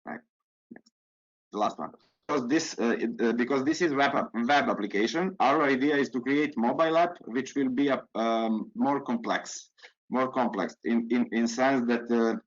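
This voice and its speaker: Man, halting presenter with a mid-pitched voice, heard over a muffled video call line. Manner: halting, many restarts. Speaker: webinar presenter. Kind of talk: webinar presentation. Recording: dull lo-fi video call audio.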